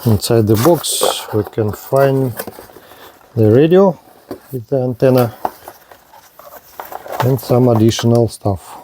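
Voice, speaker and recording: A man giving a hands-on product demonstration in halting short phrases, with non-native English phrasing. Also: mid-range voice, noisy background. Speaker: radio reviewer.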